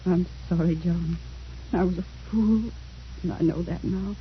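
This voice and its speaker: A muffled old radio recording with faint noise, where an actress plays a remorseful middle-aged woman. Her voice is low and weary, hesitant and pleading in radio-drama style. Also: a little slow, close to an everyday voice. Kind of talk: old-time radio acting.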